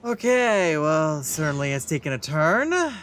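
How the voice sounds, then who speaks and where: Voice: medium pitch, sing-song, normal speed, fairly bright tone. A let's play host giving casual gameplay commentary in wry, muttered asides.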